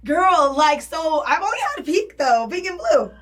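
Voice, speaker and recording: A woman with a rough voice, a radio personality and club MC, chatting casually in a blunt, slangy way and swearing freely. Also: high voice, big pitch swings, measured pace, a bit bright. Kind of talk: casual chat. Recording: voice a bit distant from the mic.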